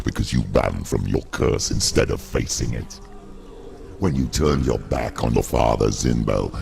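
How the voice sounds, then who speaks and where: A monstrous dark spirit taunts and accuses in a guttural growl. The voice is extremely low and thick with gravel, set in loud, noisy game ambience. It belongs to a dark fantasy game. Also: male voice, heavily acted. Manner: slow, accusatory questioning. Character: menacing male demonic shadow, taunting and accusing.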